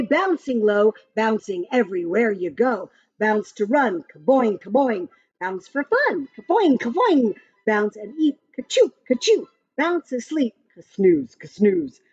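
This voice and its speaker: A woman reading a rhyming picture book aloud to young children in an expressive, sing-song way, with counting and little asides. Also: on the high side, measured pace, husky. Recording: muffled lo-fi audio with background music. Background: music from a picture-book app.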